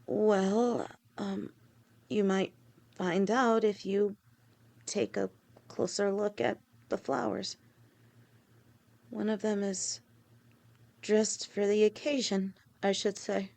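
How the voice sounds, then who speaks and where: A woman voicing a devoted young lamia woman with a snake tail, speaking softly to her partner in an audio roleplay, halting and sometimes stammering. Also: medium pitch, fairly even intonation, gravelly. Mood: nervous, tender. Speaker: girlfriend in a listener roleplay.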